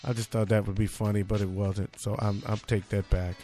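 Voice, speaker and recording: A man's voice: on the low side, level tone, brisk, warm tone, faint music behind. A man hosting a podcast, reading odd news stories and adding sarcastic, joking asides. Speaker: podcast host. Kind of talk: comic news commentary.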